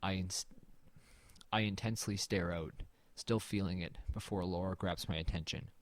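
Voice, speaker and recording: A man playing an uneasy narrator in a horror audio drama, telling it in the first person in a measured, brooding way. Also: low voice, steady tone, dry recording, close to an everyday voice. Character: uneasy male narrator exploring a dead man's house.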